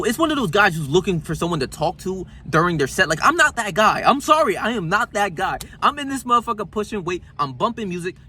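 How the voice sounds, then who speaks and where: A man in his twenties telling a story as a casual rant, animated and profane, full of slang and fillers. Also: a little high, a little hiss or hum. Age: 20s. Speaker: vlogger.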